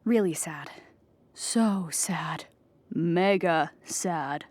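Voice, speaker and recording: An actress playing an anxious, childlike clown who rambles earnestly in a theatrical audio comedy monologue. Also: female voice, lowish voice, extremely slow, a little mellow, dry recording. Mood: wistful. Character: anxious, childlike clown pleading at an appeal hearing.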